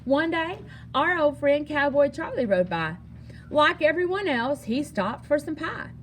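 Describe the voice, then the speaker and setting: A woman reading a children's picture book aloud in an expressive, singsong storytelling manner. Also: sits high, unhurried, slight background noise. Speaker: story reader.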